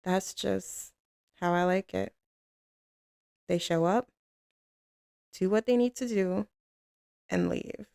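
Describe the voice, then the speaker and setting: A woman acts out a tough female mafia boss in an intimate ASMR roleplay. The voice is full of gravelly fry and takes its time, cool and commanding yet tender toward a lover. It sounds very dry and close, with no room around it. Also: mid-range voice, a little mellow, dry recording. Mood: measured, philosophical. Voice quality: gravelly, creaky. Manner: cool, unhurried, commanding drawl with pet names.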